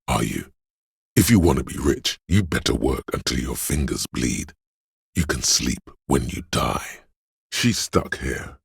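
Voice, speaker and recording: Slick, cocky game-character hustler: extremely low, very husky bass, theatrical money-hungry swagger. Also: male voice, no room sound, clean studio sound, exaggerated voice. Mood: urgent, goading. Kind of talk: video game voice acting, dramatic narration.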